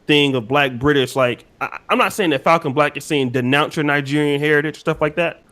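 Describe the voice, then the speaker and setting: A man's voice: on the high side, fairly quick, fairly bright tone. An American man hosting a casual podcast discussion, talking loosely with many restarts and fillers. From United States. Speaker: podcast host.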